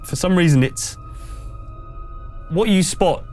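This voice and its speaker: A man running a tabletop game as game master, improvising narration in a conversational, halting way. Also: high voice, a little mellow. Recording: music behind the voice. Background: music.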